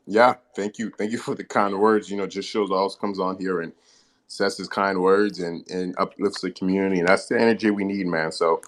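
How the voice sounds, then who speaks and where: Low-voiced man hosting. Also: steady tone. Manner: brisk, moves things along. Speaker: host. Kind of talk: casual chat.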